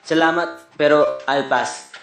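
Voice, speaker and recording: Man voicing a high-voiced, earnest cartoon bear. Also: measured pace, echoey room, over music. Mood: kindly. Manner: earnest, preachy. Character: earnest, fretful animatronic bear team leader, male. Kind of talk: cartoon fan-dub acting.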